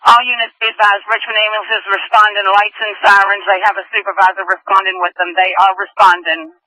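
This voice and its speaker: A woman's voice: medium pitch, level tone, a little fast, pushed and bright, no room sound. A woman working as a police dispatcher, speaking in clipped, procedural codes and times over a police radio. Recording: dull lo-fi police scanner radio audio.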